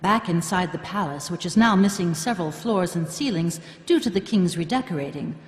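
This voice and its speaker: A woman's voice: on the low side, lively intonation, a little fast. A woman narrator delivering scripted storytelling for a live audio drama. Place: stage at a convention hall. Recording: echoey live hall, faint music.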